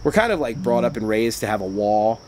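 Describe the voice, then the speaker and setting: A man's voice: lowish voice, big pitch swings, fairly quick, noisy background. A man who works at a bar, talking in casual chat, rambling and chatty with frequent restarts and filler words. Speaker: bar worker.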